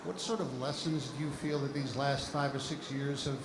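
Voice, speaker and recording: A man's voice: mid-range voice, steady tone, normal speed, reverberant, crowd noise, rough recording. A man reporter at a press conference asking long, winding questions.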